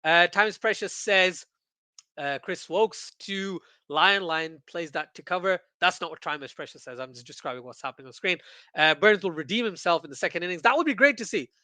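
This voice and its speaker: A man originally from Pakistan hosting a casual cricket watchalong, reading viewer comments aloud and commenting excitably, often repeating phrases for emphasis. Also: high-pitched, moderate pace, no echo. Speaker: livestream host and cricket commentator.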